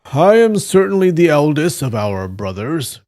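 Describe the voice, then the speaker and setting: A man playing a humble, slow-natured senior Taoist monk with formal speech, in a storybook. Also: a little high, big pitch swings, darkish tone, voice pushed up. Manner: formal, self-deprecating.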